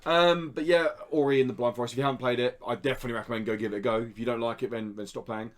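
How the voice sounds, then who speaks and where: A man's voice: medium pitch, fairly even intonation, rapid-fire. A man co-hosting a podcast, chatting casually about video games in an informal way with some swearing. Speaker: podcast co-host.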